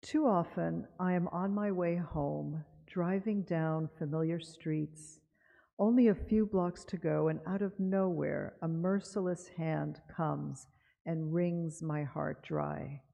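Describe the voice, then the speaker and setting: A woman from Cuba giving an unhurried, conversational author talk in a synagogue chapel, reading a poem aloud and often pausing with fillers. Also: sits low, creaky, mellow. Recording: dull live broadcast with long reverb.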